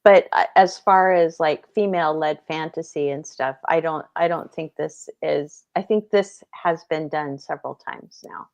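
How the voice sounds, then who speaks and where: A woman with a distinctly low-pitched voice chats as a panelist about books. Her delivery is casual and matter-of-fact, with firm opinions. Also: a little slow, hardly any pauses, darkish tone, highs cut off. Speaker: book discussion panelist. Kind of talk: casual book discussion.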